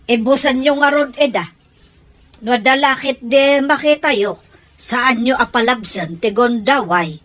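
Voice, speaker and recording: A woman's voice: fairly high pitch, a little slow, fairly bright tone. A woman acting a scheming schemer who questions and prods her accomplices in an audio drama. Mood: demanding. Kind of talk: Ilocano radio-style audio drama acting.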